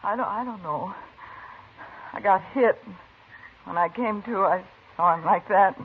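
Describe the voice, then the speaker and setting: A woman's voice: mid-range voice, a little slow, close to an everyday voice. An actress playing a shaken, evasive Old West saloon girl in a hesitant, stammering 1950s radio drama style. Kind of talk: 1950s radio western acting. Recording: muffled, noisy 1950s radio recording.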